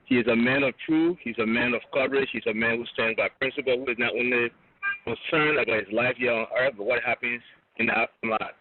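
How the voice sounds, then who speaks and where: A man's voice: medium pitch, fairly quick, a little hiss or hum. A man calling into a radio show, speaking earnestly and emphatically as he commends the guest. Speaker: caller. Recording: tinny phone line.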